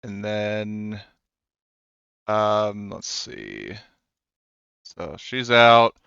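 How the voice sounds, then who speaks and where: A man running a tabletop game as game master, talking haltingly with many fillers. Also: fairly low pitch, big pitch swings, vocal fry, pushed and bright, clean tone, no echo, muffled recording.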